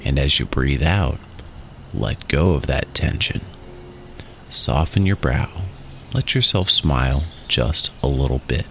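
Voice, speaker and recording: A man narrating a guided relaxation in a soothing, instructional way, in short phrases with pauses. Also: a bass voice, animated, gravelly, fairly bright tone, slight background noise. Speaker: guided meditation host. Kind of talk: guided meditation and hypnosis narration. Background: music.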